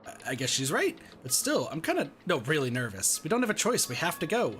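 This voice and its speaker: A man's voice: a little high, huge pitch swings, fast, fairly bright tone, faint music behind. A man reading a visual novel aloud expressively as narrator and protagonist in a gaming video.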